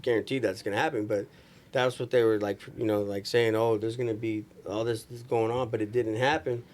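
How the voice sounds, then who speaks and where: A man's voice: on the low side, steady tone, a little fast. A man chatting casually and slangily, often dropping in "like" and "you know". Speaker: podcast guest, recording studio engineer. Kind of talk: casual chat. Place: small room with couches, indoors.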